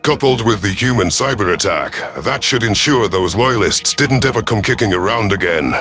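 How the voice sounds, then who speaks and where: Low-voiced man narrating dramatic science fiction over music. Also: animated, normal speed, fairly bright tone. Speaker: narrator of a sci-fi audio story. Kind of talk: first-person dramatic scripted narration.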